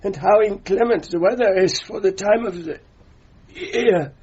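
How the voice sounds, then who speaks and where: A very hoarse, high-pitched man in a radio play, a beaten prisoner with bitter irony. His speech is weak and halting, each word politely sardonic. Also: darkish tone, muffled recording. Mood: weak, ironic. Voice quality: husky, weak. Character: battered, delirious German male prisoner, bitterly ironic. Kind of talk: radio drama acting.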